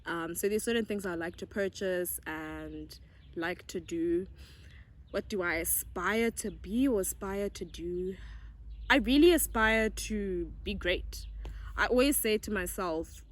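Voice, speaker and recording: A woman's voice: lowish voice, a little slow. A young woman with a Zimbabwean accent, chatting casually and answering viewer questions, bubbly and giggly. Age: young adult. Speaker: YouTuber. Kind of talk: casual get-to-know-me chat.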